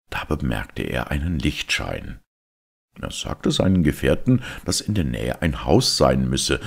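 A man calmly reading a fairy tale aloud as narrator. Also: extremely low pitch, gravelly, husky.

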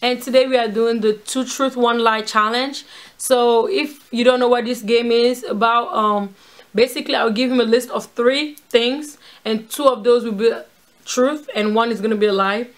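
A woman in her twenties in a casual couple challenge video, chatty and quick to laugh, filming in a small room. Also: on the high side, fairly even intonation. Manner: chatty, filler-heavy, laughs easily. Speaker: co-host.

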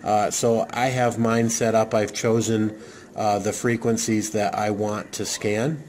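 A man with a low, mellow voice explains things step by step in an unscripted how-to demo. He talks haltingly, padding his phrases with many uh and um fillers, as he recalls each point off the cuff. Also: flat delivery, slight background noise. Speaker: radio hobbyist demonstrating programming. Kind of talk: casual how-to walkthrough. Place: indoor desk, off camera.

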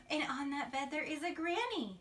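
A woman in her thirties doing an expressive bedtime read-aloud for young children, stretching phrases for suspense, recorded on a phone in a bedroom. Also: high-pitched, unhurried. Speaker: teacher reading a bedtime story. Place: small carpeted-sounding bedroom, indoors, seated on a bed. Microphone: phone camera a few feet away. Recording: phone video with clear background noise.

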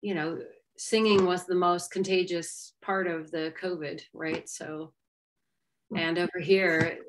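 A woman's voice: on the low side, takes it slowly, a little hiss or hum, low-quality recording. A middle-aged woman from Maryland, a singer and yoga teacher, chatting animatedly over a video call from her home. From Maryland, USA. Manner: animated storyteller, lively bursts of energy. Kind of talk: casual video-call interview. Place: home room.

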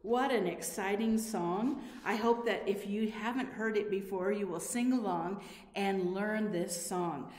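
A woman's voice: mid-range voice, a little slow, a little mellow. A middle-aged woman teaching a children's Bible lesson, explaining simply and often asking the listener questions. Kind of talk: children's Bible lesson, addressing young viewers. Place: indoor room with corrugated metal wall. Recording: echoey room with long reverb.

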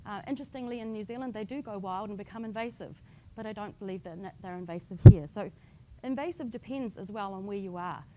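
A woman with a slightly croaky voice giving a friendly public talk on invasive species, explaining plainly with light humour. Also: medium pitch, fast talker, background noise. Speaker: invasive species outreach coordinator. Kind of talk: public science talk with slides.

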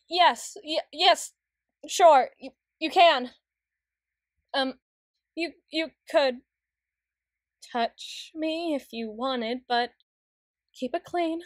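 A woman playing a proud, anxious, easily flustered tsundere young woman in an intimate ASMR roleplay, stammering and halting with wordy, formal phrasing. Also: high voice, dry recording, studio quality. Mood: flustered, defensive. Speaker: audio roleplay voice actor.

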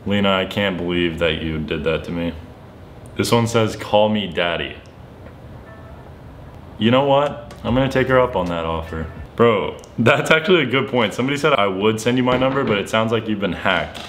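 A young man chatting casually and excitably to camera in slangy, playfully dramatic speech in a bedroom. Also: on the low side, normal speed, a little hiss or hum. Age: young adult. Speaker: vlogger making prank calls. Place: bedroom, indoors.

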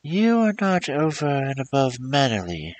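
A man voicing a stern, dignified fairy in a read-aloud fairy tale, speaking in a raised but measured, cool voice. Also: mid-range voice, wildly swooping pitch, voice pushed up, low-quality recording.

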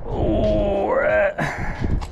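A man vlogging while fishing on a canal bank, excited and repeating himself, filmed on a head-mounted camera. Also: high voice, extremely slow, vocal fry, highs cut off, background noise. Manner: excited, repeats "you guys", laughs often. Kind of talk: casual vlog commentary. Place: outdoors on a concrete aqueduct bank. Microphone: head-mounted action camera.

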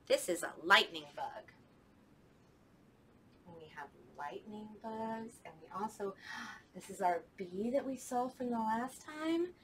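A woman's voice: mid-range voice, lively intonation, slow, pauses often, sharp tone, slight background noise, low-quality recording. A young woman, a children's librarian, leading a storytime with songs, talking to kids at home in a sing-song way in a library children's room.

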